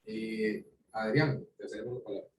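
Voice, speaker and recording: Low-voiced guy moderating a webinar, lots of este and pues, echoey call. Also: moderate pace, darkish tone. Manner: hesitant, "este" and "pues" fillers. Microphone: video call. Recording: echoey call with faint noise.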